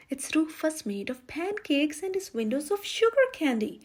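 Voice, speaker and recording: A woman's voice: high voice, darkish tone. A woman storyteller reading a children's picture book aloud as scripted narration. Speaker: storyteller, channel host.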